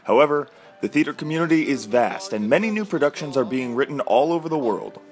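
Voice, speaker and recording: A man reports a feature in scripted news narration. His pitch is average for a male voice, and he delivers the copy as a reporter. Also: lively intonation, a little fast, faint music behind.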